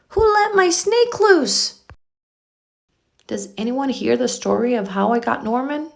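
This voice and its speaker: A woman's voice: a little high, gravelly, darkish tone. A woman reading a children's story aloud expressively, drawing out key words for emphasis. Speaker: children's book reader.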